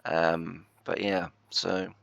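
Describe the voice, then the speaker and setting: A congested man with a very deep, gravelly voice, chatting his way through a farming game. He rambles and hesitates, restarting sentences, and sounds croaky and stuffed up. The recording is dry and a bit dull, like a modest home mic. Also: little pitch movement, drawn-out words, a bit bright, dry recording. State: congested and croaky from a chest cold. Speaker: gameplay commentator. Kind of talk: casual let's-play commentary.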